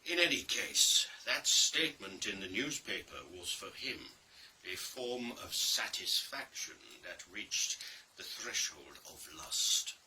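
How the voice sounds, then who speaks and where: Slow, bright-voiced man reading a dark monologue. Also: fairly low pitch, lively intonation. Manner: deliberate, theatrical delivery with pauses and emphasis. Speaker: reader of a dramatic monologue. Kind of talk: scripted dramatic storytelling, digital play reading. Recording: distant microphone, faint background noise.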